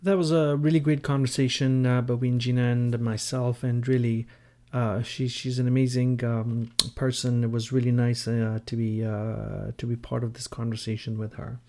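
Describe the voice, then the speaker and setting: Halting male podcast host. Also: mid-range voice, little pitch movement, mellow. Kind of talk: conversational podcast hosting, introducing guests.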